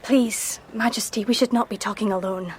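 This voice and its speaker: An actress playing a dutiful young married noblewoman torn by forbidden love, formal and hushed, in a radio drama. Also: mid-range voice, fairly even intonation, normal speed, lightly acted. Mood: guarded, polite. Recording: clear background noise, outdoor ambience.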